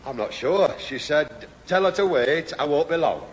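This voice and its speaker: A man's voice: fairly high pitch, animated, moderate pace, lightly acted. Blunt, grumbling Northern English working-class man played by an actor, old radio comedy, faintly hissy. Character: gruff, grumbling middle-aged working-class Northern husband. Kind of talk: 1970s radio sitcom acting. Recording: echoey old radio hall, faint hiss.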